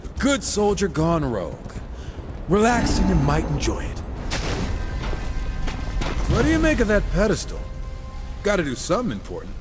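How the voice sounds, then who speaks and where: A man's voice: sits high, huge pitch swings, normal speed, long pauses, over music. A man acting a wisecracking, cocky horseman with a sarcastic, teasing manner in a video game cutscene. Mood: wry banter. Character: wisecracking, cocky horseman, brash younger-brother gunslinger type.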